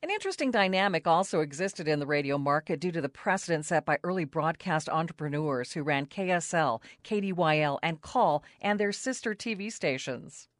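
A woman's voice: sits low, animated, a little fast, hardly any pauses, dry recording, clean studio sound. A woman radio reporter reading scripted documentary narration for a news feature. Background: music under the narration.

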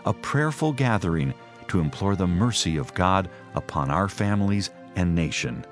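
A man with a very low voice delivers a scripted religious promo as an announcer. Soft music runs faintly underneath. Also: animated, normal speed.

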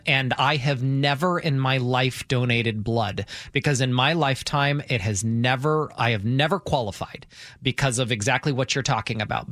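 A man's voice: medium pitch, fairly bright tone, no room sound, clean studio sound. A man working as a morning radio host, interviewing guests in a news radio style.

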